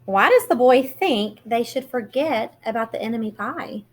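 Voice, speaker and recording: A woman reading a children's picture book aloud in an expressive, teacherly way, pausing to put questions to young listeners. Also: a little high. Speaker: read-aloud storyteller.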